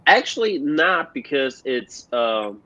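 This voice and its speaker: A man's voice: medium pitch, sing-song, pushed and bright, dry recording. Man on a dull remote line. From Germany. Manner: halting, lots of fillers. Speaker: guest musician. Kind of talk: remote interview answers. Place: at home in Germany, joining remotely. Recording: dull, dry remote call audio.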